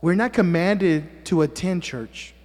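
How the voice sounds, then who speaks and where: High-voiced joking pastor, native Spanish speaker. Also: male voice, takes it slowly, darkish tone, long reverb tail. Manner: teasing, joking asides, frequent "amen?". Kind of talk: sermon. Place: church sanctuary.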